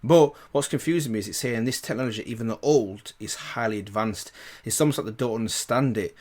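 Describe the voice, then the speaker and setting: A man's voice: medium pitch, brisk, a little mellow. A man hosting a reaction video with casual commentary, thinking aloud and repeating himself.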